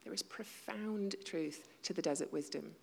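Low, gravelly woman preaching in a reverberant hall. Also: normal speed. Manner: conversational, self-deprecating, with hushed asides. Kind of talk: sermon.

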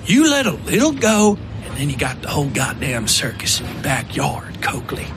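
A man's voice: mid-range voice, huge pitch swings, normal speed, background noise. A man voicing a cruel, scheming gunman with coarse, emphatic rustic speech in an audio horror story. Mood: bitter, persuasive.

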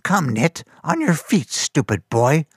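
A man's voice: fairly high pitch, sing-song, takes it slowly, husky, dry recording, clean studio sound, voice pushed up, heavily acted. A man voicing a cruel, scheming old male master who barks and sneers at his servant boy. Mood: harsh scornful. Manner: harsh, barking, contemptuous.

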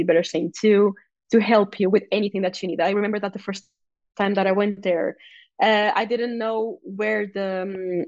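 A woman's voice: mid-range voice, no room sound, highs cut off. A young woman from Portugal with a Portuguese accent, a guest athlete chatting casually, with many restarts and fillers. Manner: talkative, many restarts and fillers, laughs easily. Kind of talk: casual interview chat.

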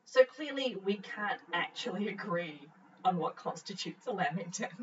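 A woman's voice: mid-range voice, expressive, moderate pace, far from the mic, dull audio, slight background noise. A young woman with a New Zealand accent, co-hosting a casual podcast chat, cheerful, laughing often and swearing casually. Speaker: podcast co-host. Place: home office near a road.

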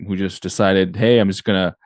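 A man's voice: low voice, fairly even intonation, fairly quick, dry recording. A man co-hosting a podcast in casual chat, loose and joking with plenty of fillers. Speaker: podcast co-host.

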